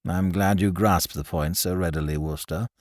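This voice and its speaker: A man voicing a languid, smug young man laid up in bed, who speaks in a quiet, slow, patronizing drawl. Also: low voice, steady tone, no echo, studio quality, pitched below the performer's own voice, lightly acted.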